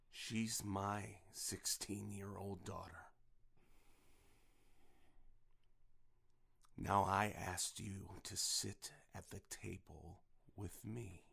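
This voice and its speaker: A man's voice: fairly low pitch, vocal fry, a bit bright, heavily acted. An actor playing a folksy father in an audio drama, who acts like a jovial barfly to confront a predator and can turn menacing. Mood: cold, menacing. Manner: folksy, drawling, mock-friendly, then slow and threatening.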